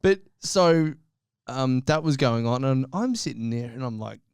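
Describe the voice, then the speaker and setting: A man's voice: medium pitch, expressive, unhurried, smooth, dry recording, studio quality. A man co-hosting a podcast, telling stories in casual, sweary banter with a jokey manner. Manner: sweary, jokey, acts out quoted speech. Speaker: podcast co-host.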